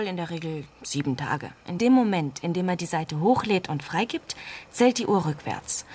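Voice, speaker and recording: An actress playing a composed, precise online-auction expert in a radio crime drama, explaining patiently and with assurance. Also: female voice, lowish voice.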